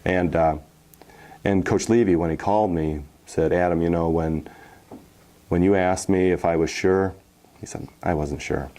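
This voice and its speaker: Deep-voiced man in his forties recalling. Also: unhurried. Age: 40s. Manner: relaxed, many fillers and restarts, animated when telling game stories. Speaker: former football player, interview guest. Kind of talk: casual storytelling interview.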